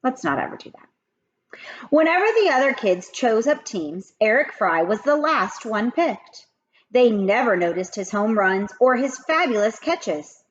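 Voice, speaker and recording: A woman teacher reading a chapter aloud to children, expressive and talking to the kids directly between passages. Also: on the high side, unhurried, dull audio, a little hiss or hum. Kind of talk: children's read-aloud with asides.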